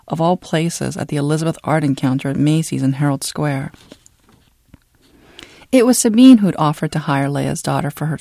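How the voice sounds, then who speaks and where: An audiobook narrator reads a scripted literary story. The delivery is measured and dramatic, at a medium pitch. The recording is close and dry. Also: mellow, no echo.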